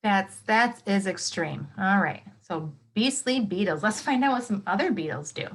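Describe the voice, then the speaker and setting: A woman's voice: mid-range voice, lively intonation, normal speed. A woman teacher reading a nonfiction book aloud to children, expressively, with exclamations, explanatory asides and questions to her young listeners.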